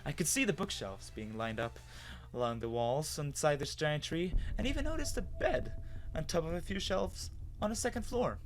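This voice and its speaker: A man's voice: on the high side, very animated, moderate pace, rough recording. A man playing a bewildered human with lost memory, narrating his own story in a dramatic, hesitant, wondering way. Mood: stunned, observant.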